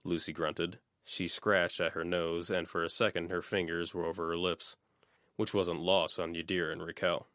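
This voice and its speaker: A man's voice: low-pitched, flat delivery, normal speed, fairly bright tone, no echo, studio quality. A man reading scripted fiction as an audiobook narrator.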